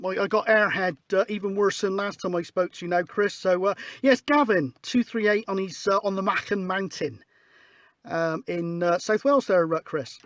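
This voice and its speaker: A high-pitched male voice works the CB radio, calling for other stations in a relaxed, informal style. He talks like a matey hobby operator leading the exchange. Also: moderate pace. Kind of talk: casual radio calling and chat. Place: outdoors on a hillside in Wiltshire, at a CB radio set.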